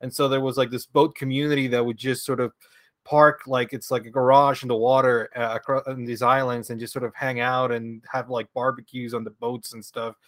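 A man's voice: medium pitch, little pitch movement, fairly quick, a bit bright, no echo. A man from Venezuela, a podcast guest telling stories in a rambling, chatty way with frequent laughter and restarts. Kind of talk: casual conversational storytelling.

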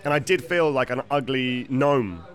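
A man's voice: mid-range voice, normal speed. A man hosting a casual interview outdoors, asking questions with wry, joking asides. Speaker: host. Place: outdoors on a city walk.

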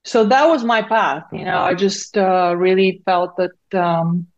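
A woman's voice: fairly low pitch, measured pace, muffled recording. A woman in her fifties from Bulgaria, a native Bulgarian speaker, answering conversationally as an interview guest. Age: 50s. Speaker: interview guest, tech founder and CEO.

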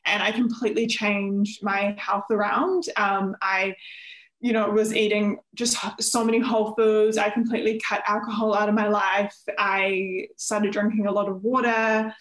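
Young kiwi woman chatting as a podcast guest, normal pitch, pretty echoey audio. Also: level tone, normal speed, fairly bright tone. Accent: New Zealand. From New Zealand. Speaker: interview guest, nutritionist and company founder. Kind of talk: conversational interview answers. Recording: roomy, echoey sound.

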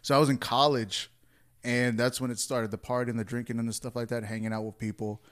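A man in his late twenties chatting casually, reflecting in a relaxed, easygoing way. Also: medium pitch, brisk, clear, resonant tone. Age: 20s. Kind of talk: casual chat.

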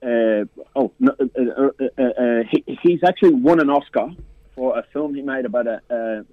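A man's voice: mid-range voice, normal speed, no room sound. A man who programs a film festival talks about films in a radio interview, stopping and restarting often with many fillers. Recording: tinny, dry phone line.

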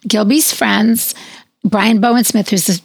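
A middle-aged woman with a raspy, hoarse voice, co-hosting a podcast and telling a long story in a chatty way. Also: medium pitch, steady tone, a little slow, dry recording. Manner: chatty storyteller, fillers like "like" and "you know". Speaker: podcast co-host. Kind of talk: casual chat.

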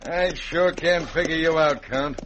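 A man plays a dim outlaw henchman in a vintage radio western. His voice is high and puzzled, under clear background noise. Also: a little slow, natural voice. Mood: puzzled, grumbling. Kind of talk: old-time radio western acting. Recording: noisy vintage radio broadcast recording.

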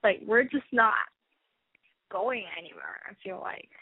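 A woman in her twenties, calling in to a radio show, talking in a shy, hesitant, halting way. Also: medium pitch, wildly swooping pitch, gravelly, slightly rough, no echo. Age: 20s. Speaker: caller. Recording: tinny phone line.

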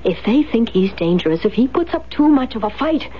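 A woman's voice: a little high, very animated, a little fast. An actress playing a cold, commanding, scheming woman in a 1970s radio drama, with a clipped, theatrical delivery. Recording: dull 1970s radio recording, faint noise.

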